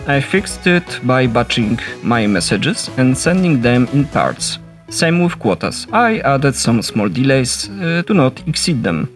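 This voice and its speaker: A man with a Polish accent narrates a game development log in a steady, explanatory voice at a mid pitch. Soft music plays faintly behind him. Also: unhurried. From Poland. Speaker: solo indie game developer. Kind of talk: scripted devlog narration.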